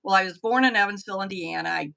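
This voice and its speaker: A middle-aged woman from the southern United States with a softened Tennessee accent, chatting easily as a guest on a live stream interview. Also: medium pitch, quick pace, gravelly. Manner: chatty, with frequent ums and restarts. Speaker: political candidate, guest. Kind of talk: casual interview answers.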